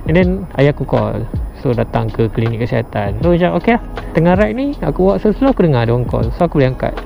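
A man's voice: mid-range voice, very animated, quick pace, gravelly. A young man with a Malaysian accent giving a casual product review as a motorcycle vlogger, slangy and mixing in English words. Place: outdoors on a motorbike at a roadside. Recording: dull muffled audio, loud background music. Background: music added behind the voice.

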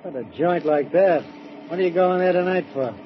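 A talkative cab driver, nosy and blunt, with a slightly high voice full of slangy grammar, as a radio actor plays him. The old recording is dull, with clear hiss and noise beneath it. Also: male voice, normal speed, close to an everyday voice. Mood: gabby. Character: chatty working-class male cab driver.

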